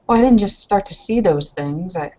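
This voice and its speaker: A woman playing an earnest, shaken, underage young woman in a tabletop roleplaying game, halting and hesitant as she speaks. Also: mid-range voice, expressive, mellow, lo-fi sound, close to an everyday voice. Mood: upset, defensive.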